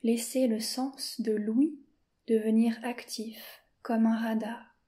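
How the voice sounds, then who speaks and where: A woman teacher guiding a yoga nidra session in a slow, soft-spoken, calm way, often dropping to a whisper. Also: a little high. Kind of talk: guided meditation.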